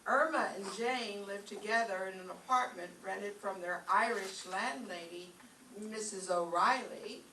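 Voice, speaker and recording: A woman's voice: medium pitch, measured pace, bright, forceful tone. A woman reading scripted narration as master of ceremonies of a live radio-style show. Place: live stage show in a room. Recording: echoey room, distant mic, faint noise.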